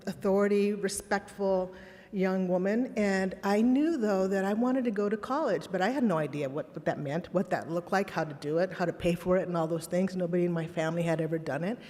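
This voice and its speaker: A woman's voice: mid-range voice, fairly even intonation, a little fast, warm tone. A middle-aged woman whose first language was Spanish, giving a candid, reflective keynote with personal stories and rhetorical questions. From Texas border town, raised in Los Angeles. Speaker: conference speaker. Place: conference hall. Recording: long reverb in a large hall.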